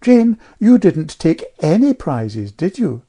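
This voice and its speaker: A woman's voice: extremely low pitch, sing-song, normal speed, dark tone, heavily acted. A woman voicing a snobbish, catty vicar's wife and doting mother, tart and condescending, in a storybook. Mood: proud, needling.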